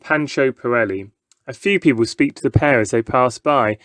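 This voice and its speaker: A man's voice: medium pitch, normal speed, fairly bright tone, no room sound. Man reading a children's story, dry sound. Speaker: story reader. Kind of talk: scripted children's book narration, present tense.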